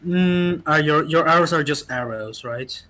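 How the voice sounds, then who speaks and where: A man running a tabletop roleplaying game as game master, talking in a hesitant, halting way. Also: on the high side, sharp tone.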